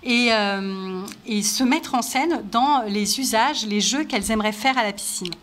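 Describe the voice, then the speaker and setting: Higher-voiced woman commentating with many fillers. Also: measured pace, fairly bright tone. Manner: hesitant, many fillers, mock-sportscaster enthusiasm. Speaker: event host and commentator. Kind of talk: live playful commentary. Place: indoor swimming pool at night.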